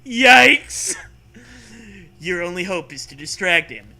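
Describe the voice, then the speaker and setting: A man's voice: on the high side, normal speed, bright, forceful tone. A man co-hosting and reading a comic gamebook aloud, mixing narration with casual, irreverent banter. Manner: playful, irreverent.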